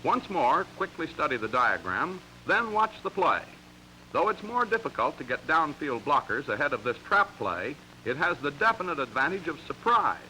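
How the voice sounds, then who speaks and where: A man's voice: on the high side, sing-song, normal speed, sharp tone, faint music behind, poor audio. An American man reading scripted narration for a 1940s sports training film in an emphatic, instructional way, on a vintage soundtrack. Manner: crisp, emphatic, instructional delivery.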